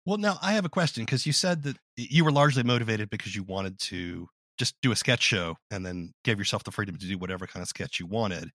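A man interviewing guests in a conversational, measured and inquisitive manner. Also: lowish voice, animated, fairly bright tone, dry recording, clean studio sound.

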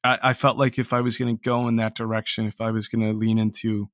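A man's voice: on the low side, level tone, fast talker, dry recording, studio quality. A man giving a casual, reflective interview as a creator, talking hesitantly and with self-deprecation. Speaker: interview guest, audio drama creator.

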